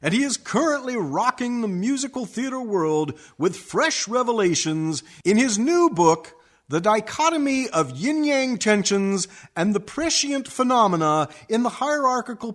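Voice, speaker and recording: An actor playing an earnest interview host who questions a guest, in a comic radio play. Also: male voice, high voice, sing-song, unhurried, fairly bright tone, exaggerated voice. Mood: grandly welcoming. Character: earnest male interview host, increasingly baffled.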